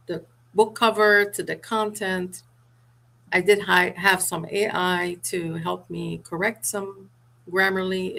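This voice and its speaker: A woman's voice: mid-range voice, creaky, fairly bright tone. A middle-aged woman from Sierra Leone, answering as an interviewed author in an earnest, explanatory manner. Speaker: author and mortgage professional being interviewed. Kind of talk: interview answer about own career and book.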